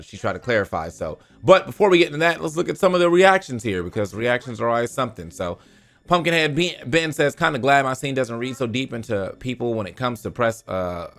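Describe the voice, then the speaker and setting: A man's voice: mid-range voice, fairly bright tone. A man hosting a gaming news show, commenting casually and reading posts aloud in an informal, slangy way with free swearing.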